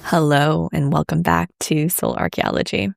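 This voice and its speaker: A woman astrologer hosting her own podcast, talking in an earnest, gentle, reflective way, her voice scratchy after an illness. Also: low voice, steady tone, normal speed, creaky, soft background music. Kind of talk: solo podcast talk.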